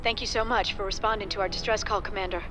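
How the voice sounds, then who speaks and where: An actress playing a gentle, anxious female doctor pleading for help for her colony. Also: medium pitch, steady tone, fast talker, music in the background, natural voice.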